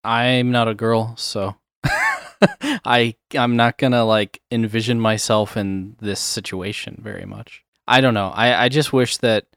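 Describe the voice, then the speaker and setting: Low-voiced rambling man chatting. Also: fairly even intonation, unhurried, a bit bright, clear, resonant tone, dry recording, studio quality. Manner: rambling, many fillers, chuckles often. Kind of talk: casual chat.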